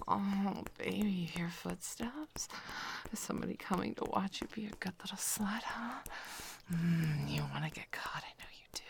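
A woman playing a dominant, possessive lover in intimate adult roleplay audio, mostly in a breathy whisper with drawn-out sighs between short phrases. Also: fairly low pitch, slight background noise.

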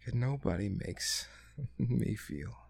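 A man performing an intimate roleplay monologue to a listener, breathy and full of moans and exclamations. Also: on the low side, expressive, slow, a little mellow, no room sound, studio quality. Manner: breathy, moaning, heavy exclamations.

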